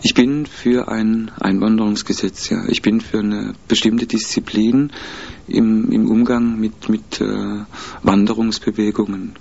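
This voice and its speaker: Gravelly, husky man speaking slowly and carefully. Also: on the low side, flat delivery, darkish tone. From mixed German, Spanish, Italian and Peruvian roots. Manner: measured, hesitant, many fillers. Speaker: interviewee. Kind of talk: thoughtful interview answers. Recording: dull lo-fi recording.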